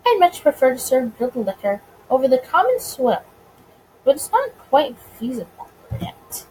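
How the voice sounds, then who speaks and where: A smooth, teasing gangster charmer from a visual novel, played by a woman. The voice sits high and swings playfully in pitch, composed and mischievous, with clear background noise. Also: sing-song, unhurried, a little mellow, poor audio. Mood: composed, businesslike. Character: suave, playful male mobster with a sweet tooth. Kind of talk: visual novel character reading.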